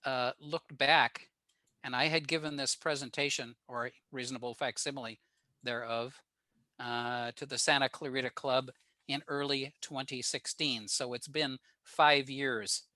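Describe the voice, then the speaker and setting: Older man, American accent, bright crisp-edged tone, dry close sound, informal technical presenter, plain-spoken. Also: mid-range voice, fairly even intonation, unhurried, no echo. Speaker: guest presenter at a radio club. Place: remote video call.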